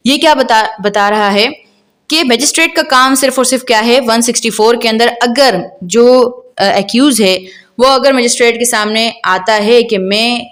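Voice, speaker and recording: A woman's voice: a little high, normal speed, fairly bright tone. A woman with a Pakistani accent giving an explanatory law lecture, reading out and paraphrasing in a didactic way, often checking understanding with questions.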